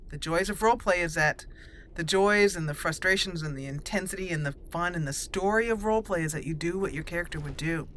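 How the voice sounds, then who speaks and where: A woman's voice: lowish voice, a little fast, fairly bright tone, slight background noise. Chatty woman game host. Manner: chatty, with fillers and self-corrections. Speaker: let's play host. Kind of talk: roleplay game commentary.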